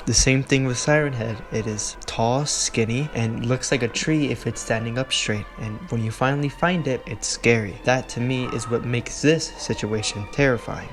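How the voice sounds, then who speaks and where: A man's voice: medium pitch, normal speed, hardly any pauses. A man giving an informal video essay commentary in an earnest, conversational, rambling manner. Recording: music under the voice. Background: music.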